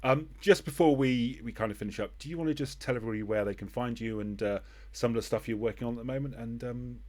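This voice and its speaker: A man's voice: mid-range voice, quick pace, darkish tone. A man hosting a casual interview in an encouraging, appreciative manner. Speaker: interview host.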